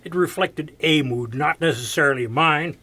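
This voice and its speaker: A man playing a touchy, defensive radio programmer in an audio drama, answering curtly with a rough edge to his voice. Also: on the high side, moderate pace, sharp tone. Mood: defensive, irritated. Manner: curt, evasive, prickly. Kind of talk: audio theater mystery acting.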